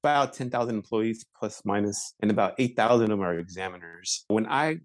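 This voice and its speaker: A man in his forties answers interview questions about his work. His voice sits a little low and the recording is dry and close. He talks in a measured, thoughtful way and often pauses on fillers and restarts. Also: no room sound. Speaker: patent examiner, interview guest.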